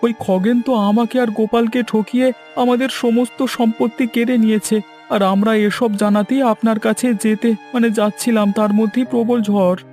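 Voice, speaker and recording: A man's voice: very high voice, flat delivery, brisk, darkish tone, soft background music. A man voicing an anxious, swindled villager in a cartoon fairy tale, plaintive and exclaiming. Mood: dismayed.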